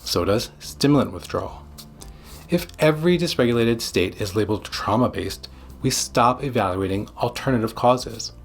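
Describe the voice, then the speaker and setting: A man giving scripted educational narration as a psychiatrist, speaking in short, deliberate, emphatic phrases. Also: mid-range voice, lively intonation. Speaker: psychiatrist presenting an explainer video.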